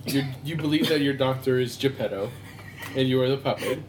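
A man's voice: medium pitch, fairly quick, a little hiss or hum, low-quality recording. A man hosting an improv podcast, interviewing characters in a playful, laughing manner. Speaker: host.

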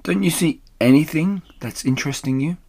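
A man voicing a fatherly fox leader in a storybook, suave and measured, savouring his words. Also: on the high side, vocal fry, darkish tone, husky, voice pushed up. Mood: knowing.

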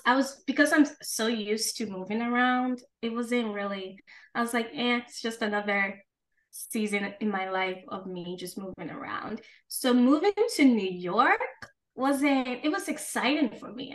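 A woman in her twenties co-hosting a podcast, talking candidly in a relaxed chat and laughing often. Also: medium pitch, poor audio. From Ghana and the United States. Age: 20s. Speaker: podcast co-host. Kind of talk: casual chat.

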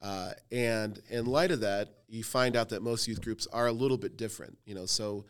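A man's voice: lowish voice, moderate pace, smooth. A man in his thirties from Cleveland, a youth pastor talking casually on a podcast with lots of fillers and self-deprecating humor. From Cleveland, Ohio.

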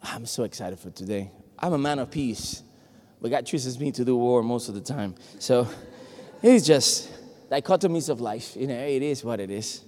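A man from Puerto Rico, a native Spanish speaker, delivers an animated sermon and jokes with his congregation. His voice is warm and mellow, at a middling pitch. The sound carries a long reverb, as in a large church hall. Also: moderate pace. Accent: Puerto Rican Spanish. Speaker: preacher. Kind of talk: lively sermon.

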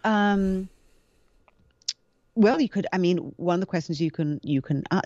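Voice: mid-range voice, a little slow, darkish tone, no echo, dull audio. A guest explaining ideas through examples, with frequent hesitations and restarts. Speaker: interview guest. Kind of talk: explanatory conversational talk.

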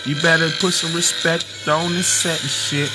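A man with a raspy, scratchy voice freestyles, half singing and half rapping in slow, drawn-out lines with loose ad-libs. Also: on the high side, level tone. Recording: loud backing music under the voice.

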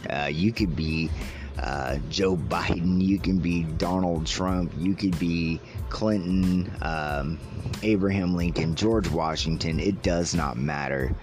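Very deep-voiced man, slow and halting. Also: over music. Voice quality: slightly raspy. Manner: halting, pausing mid-sentence; amused, chuckling as he talks. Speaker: podcast host. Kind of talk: casual solo podcast talk about news stories.

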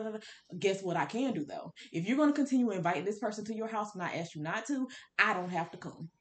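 A woman podcast co-host with a mid-range voice. She talks casually about books, giving her opinions bluntly and in everyday colloquial language. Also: mid-range voice. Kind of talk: casual book discussion.

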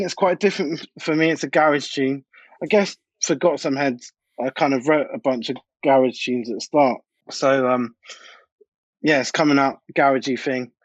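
A man's voice: mid-range voice, dry recording. Male interview guest, informal slangy chat, close and dry, slightly muffled lo-fi sound. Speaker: DJ and music producer, interview guest.

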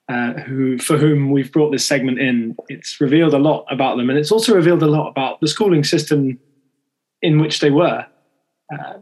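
A man's voice: mid-range voice, low-quality recording. A man hosting a podcast interview, asking questions that loop back on themselves. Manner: rambling, restarts his questions. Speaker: podcast host.

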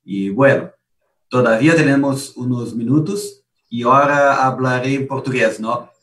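A man from Brazil, moderating a webinar on a video call, speaking slowly and hesitantly. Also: medium pitch, fairly bright tone. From southern Brazil. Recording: distant, roomy mic sound.

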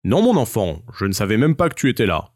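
A man's voice: on the low side, big pitch swings, quick pace, no echo, studio quality. A man voicing a kindly, apologetic village priest in a children's tale. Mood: regretful. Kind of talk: children's storybook dialogue.

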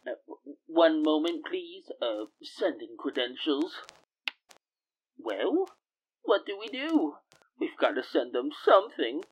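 Man acting a nervy, highish-voiced starship pilot. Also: very animated, slow pace, slight background noise, poor audio. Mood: nervous. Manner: nervy, hesitant, exclaiming. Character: anxious, wisecracking male starship pilot. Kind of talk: space adventure audio drama acting.

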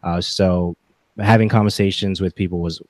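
A man in his forties talking reflectively as a podcast guest, with halting, deliberate phrasing. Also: sits low, steady tone, darkish tone, no echo. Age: 40s. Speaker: podcast guest, gaming content creator. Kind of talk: reflective interview talk.

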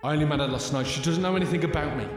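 A man's voice: a little high, rapid-fire, close to an everyday voice. A man acting an earnest, desperate time-travelling alien hero in an audio drama, imploring and emphatic. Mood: desperate pleading. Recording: very echoey hall, loud music.

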